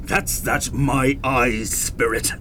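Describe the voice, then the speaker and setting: Bad-tempered dead Irish father, elderly, radio drama, slow-paced bluster, faint rumbly noise. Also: fairly even intonation, bright, forceful tone. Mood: stubborn, blustering. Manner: blustering, stammering when flustered. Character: bad-tempered, self-pitying old Dublin father, newly dead. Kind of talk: radio play acting.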